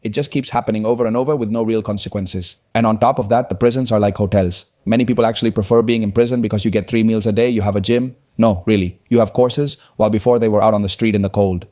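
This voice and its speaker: A man's voice: on the low side, steady tone, fast talker, hardly any pauses, warm tone. A man hosting a podcast interview, making his points in long, opinionated runs. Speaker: podcast host. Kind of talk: conversational interview.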